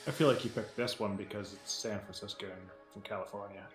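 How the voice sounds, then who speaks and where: A man's voice: lowish voice, fairly even intonation, fast talker, soft background music. A man co-hosting a podcast in casual, joking banter, with a dry, sarcastic, teasing manner. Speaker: podcast co-host.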